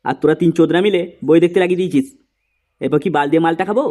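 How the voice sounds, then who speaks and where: A man's voice: on the high side, racing, noisy background, close to an everyday voice. A man voicing a bossy, foul-mouthed, irritable young man in a comedy cartoon. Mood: angry. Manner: scolding, crude.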